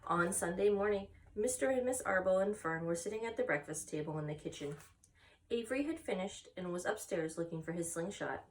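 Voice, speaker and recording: A woman's voice: on the low side, a little fast, distant mic, low-quality recording. A woman narrating a children's book expressively as a story-time reader, recorded slightly away from the mic.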